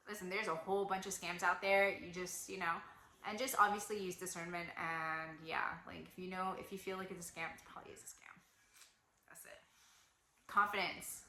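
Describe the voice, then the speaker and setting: A woman's voice: mid-range voice, bright, forceful tone, slight background noise. A young woman giving casual travel advice to camera, chatty and full of fillers. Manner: chatty, lots of "like" and "you know". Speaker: travel vlogger. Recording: echoey room.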